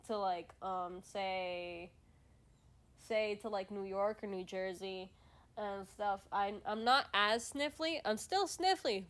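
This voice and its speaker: Sniffly woman rambling on a livestream. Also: on the high side. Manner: rambling, many restarts and fillers, laughs often. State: sniffly, stuffed nose. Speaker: streamer host. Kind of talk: casual livestream chat while drawing.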